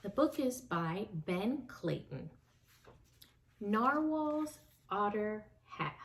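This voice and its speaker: A slow, lively woman reading to children. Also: medium pitch. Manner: warm, slow and expressive, addressing kids. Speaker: storytime reader. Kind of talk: reading a picture book aloud to children.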